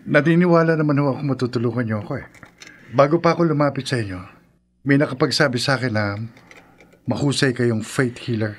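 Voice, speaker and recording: A man's voice: mid-range voice, fast talker, close to an everyday voice. A man playing a doubting, uneasy husband in a radio drama, hesitant and questioning. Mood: uneasy, curious. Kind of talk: horror radio drama acting. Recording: faint background noise.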